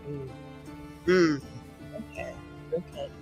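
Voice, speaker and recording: A woman playing a tabletop roleplaying game, chatting casually in slangy, excitable, improvised speech with some swearing. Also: deep voice, slow pace, highs cut off, soft background music.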